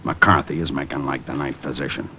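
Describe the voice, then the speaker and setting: A man's voice: rumbling low, fairly even intonation, a little fast. A man playing a curt, commanding police lieutenant in an old radio crime drama. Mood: businesslike. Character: gruff, businesslike male police lieutenant. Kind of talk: 1940s-50s radio crime drama acting. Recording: muffled, faintly noisy old radio recording.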